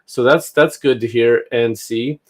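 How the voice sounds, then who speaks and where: A man's voice: mid-range voice, steady tone, measured pace. A man hosting a casual news discussion, talking in a hesitant, think-aloud way. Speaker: talk show host.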